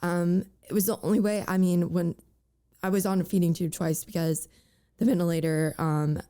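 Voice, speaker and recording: A young woman with a raspy voice full of cracks, speaking as a guest in a candid interview, halting and full of fillers. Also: on the low side, level tone, mellow.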